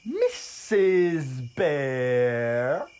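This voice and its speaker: A man voicing a curious, naive little frog for a children's story, asking questions in an exaggerated, drawn-out way. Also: on the high side, wildly swooping pitch, a little mellow, slight background noise, exaggerated voice. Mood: earnest, curious. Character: curious little wide-mouthed male frog, earnest and naive.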